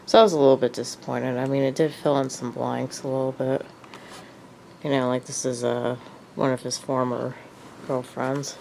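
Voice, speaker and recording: Stuffy-nosed lady vlogging, deep gravelly voice, lots of ums, takes her time. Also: level tone, normal speed, a little mellow, slight background noise, rough recording. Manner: hesitant, lots of ums and uhs. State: has a cold, sniffly. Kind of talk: casual show-and-tell vlog.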